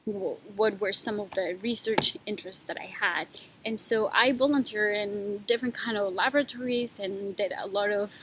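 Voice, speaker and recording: A young woman from Ecuador talks through her research at a mid-range pitch. She is thoughtful and unhurried, stopping often with small fillers. A faint low rumble sits behind her voice. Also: fairly bright tone, poor audio. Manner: thoughtful, with frequent pauses and fillers. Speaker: PhD student. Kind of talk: informal talk about her research.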